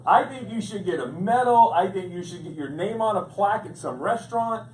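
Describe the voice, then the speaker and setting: Playful pastor in an echoey hall. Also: male voice, high-pitched, a little fast. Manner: playful, animated storytelling with jokes. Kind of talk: humorous sermon. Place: large church hall. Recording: echoey hall, distant mic, background noise.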